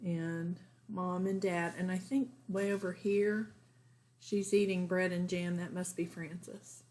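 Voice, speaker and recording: A woman's voice: on the low side, steady tone, slow pace, darkish tone, slight background noise. A woman teacher reading a children's storybook aloud, adding casual asides for young listeners.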